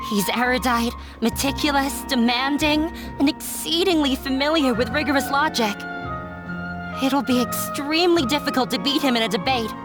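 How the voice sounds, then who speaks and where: An actress playing a frank, skeptical young woman in a game dub, speaking in a measured way. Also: high voice, lightly acted. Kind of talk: English game dub acting. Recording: music behind the voice.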